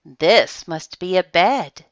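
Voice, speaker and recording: A woman's voice: on the low side, animated, slow pace, no echo, dull audio. A woman voicing a small, innocent toy bear in a children's storybook, speaking with earnest wonder. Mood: wide-eyed wonder.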